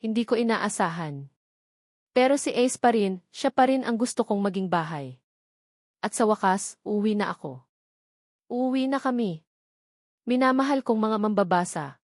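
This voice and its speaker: A woman reading a scripted first-person love story as narrator, with sentimental, measured phrasing. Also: mid-range voice, expressive, a little mellow, no echo, studio quality.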